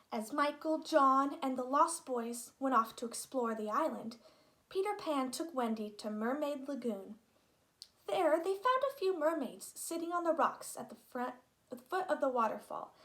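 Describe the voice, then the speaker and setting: A woman reading a children's story aloud as an expressive narrator. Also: high-pitched, low-quality recording. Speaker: storybook reader.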